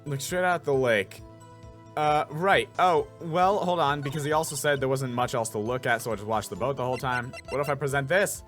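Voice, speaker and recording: A man hosting a game playthrough, thinking aloud in an animated way and repeating himself as he reads and comments. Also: medium pitch, a little fast, soft background music. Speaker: voice actor host of a game playthrough. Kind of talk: casual commentary and reading game dialogue aloud.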